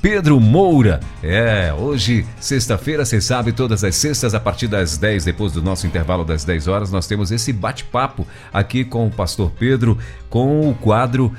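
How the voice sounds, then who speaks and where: Chatty Brazilian radio host, faint music. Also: male voice, medium pitch. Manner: chatty, many tag questions. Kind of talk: morning radio chat.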